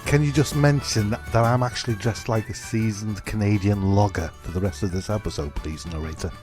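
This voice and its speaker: A man playing a vain, pushy, excitable castaway eager to seem manly in a comedy audio drama. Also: fairly low pitch, brisk, music in the background, close to an everyday voice. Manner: pushy, excitable, self-important. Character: vain, eager, preening male castaway wanting manliness.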